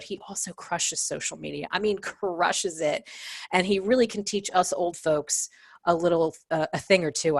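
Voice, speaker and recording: A woman in her forties hosts an interview podcast in a chatty, effusive style. Her voice sits on the low side and she leans emphatically on her words. The sound is dry and close, with little room to it. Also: no echo. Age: 40s. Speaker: podcast host.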